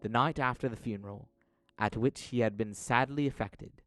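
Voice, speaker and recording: A man narrating an audio drama from a script, telling the story in a measured, dramatic manner. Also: medium pitch, fairly bright tone.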